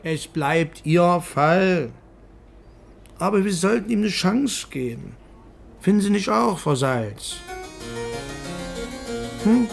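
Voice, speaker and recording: An actor playing a probing, teasing senior male police detective with a raspy, creaky voice in a naturalistic radio drama. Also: high-pitched, lively intonation, soft background music, heavily acted. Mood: teasing, instructing. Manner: probing, instructing, deliberate.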